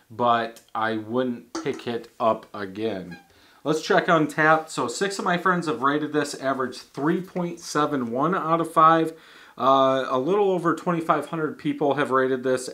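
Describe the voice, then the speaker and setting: A man in his thirties giving a casual beer tasting review at a home bar, thinking aloud with halting restarts. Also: mid-range voice, bright, forceful tone. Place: home bar in front of a banner.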